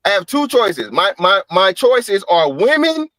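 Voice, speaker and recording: A man's voice: high voice, big pitch swings, measured pace, fairly bright tone, dry recording, clean studio sound. A man on a livestream panel, arguing his point bluntly and insistently, swearing freely and repeating himself with emphasis. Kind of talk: heated debate on a livestream panel.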